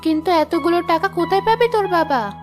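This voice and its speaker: A woman's voice: very high voice, animated, fairly quick. An actress playing a worried, blunt mother from a poor village family in a cartoon. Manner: blunt, fretful. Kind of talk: cartoon voice acting. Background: background music.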